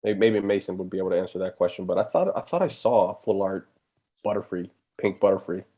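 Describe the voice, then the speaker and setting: Lower-voiced man, chatty stream co-host, restarts sentences a lot. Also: fast talker, mellow, husky. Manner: chatty, stammers and restarts, reads chat questions. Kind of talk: casual live stream chat.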